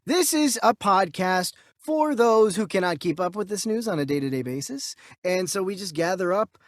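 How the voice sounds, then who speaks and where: A man's voice: sits high, lively intonation, normal speed, no echo, clean studio sound. A man co-hosting a casual movie news podcast, talking in a blunt, informal, conversational way. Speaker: podcast co-host.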